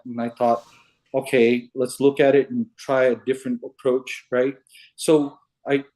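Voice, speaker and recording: A man from Hawaii on a video call, explaining his puzzle theories in a halting, unhurried way. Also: mid-range voice, darkish tone, rough recording. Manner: unhurried, halting, restarts often. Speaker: caller sharing puzzle theories. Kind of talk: casual video call chat. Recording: video call audio, breaking up.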